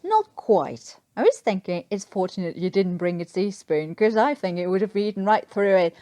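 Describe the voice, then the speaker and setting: A woman's voice: mid-range voice, expressive, normal speed, dark tone, no echo, close to an everyday voice. A woman voicing a cheeky, sickly Cockney man in a story, with teasing, rambling informal talk. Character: cheeky, sickly Cockney Englishman, a wartime airman. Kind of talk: storybook character voice.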